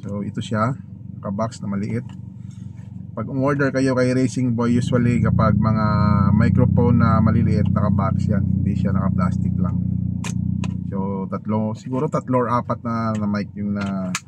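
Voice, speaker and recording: Filipino man vlogging casually over clear noise. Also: fairly low pitch, steady tone. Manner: informal and halting, searching for words. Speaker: motovlogger host. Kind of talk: casual unboxing chat. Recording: clearly noisy, vehicle and ambient noise.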